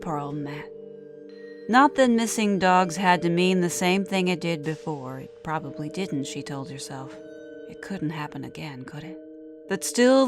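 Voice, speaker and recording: A woman with a lowish voice tells a horror story. Her delivery is scripted and dramatic, sinking into hushed phrase endings, with faint music behind her. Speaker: narrator. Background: background music.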